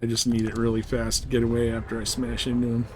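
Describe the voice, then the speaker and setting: Male game streamer, mid-pitched, off-the-cuff slangy muttering, some game noise behind. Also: completely flat delivery, fast talker. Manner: muttering to himself, mildly profane, slangy. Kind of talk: casual live gameplay commentary.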